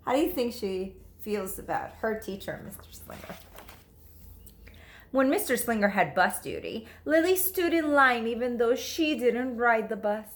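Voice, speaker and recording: A woman teacher reading a storybook aloud to children, expressive and emphatic, speaking to her listeners directly. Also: on the high side.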